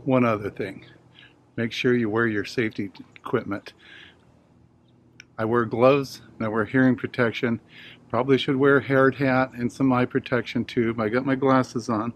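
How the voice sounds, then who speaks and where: A man's voice: mid-range voice, fairly even intonation, moderate pace. An older man, around his sixties, chatting casually to camera in a folksy, rambling, easygoing way as he hosts a hobby video.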